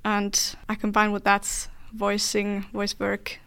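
A woman from Finland talks reflectively as an interview guest. Her voice is smooth and clear at a medium pitch, with very little melodic movement. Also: monotone, takes it slowly, sharp tone. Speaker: dance artist, podcast guest.

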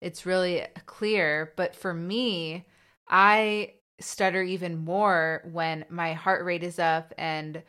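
A woman's voice: on the low side, steady tone, takes it slowly, a bit bright, clean tone. A young woman co-hosting a casual talk show, speaking candidly about her own stutter, laughing often and sometimes repeating sounds. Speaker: co-host, person who stutters. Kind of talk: casual podcast chat.

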